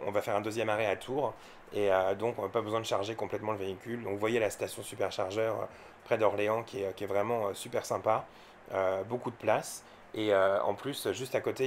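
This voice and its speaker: A man's voice: fairly low pitch, slight background noise. A man from France giving casual, unscripted vlog commentary, explaining things informally and with some hesitation. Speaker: electric car owner and vlogger.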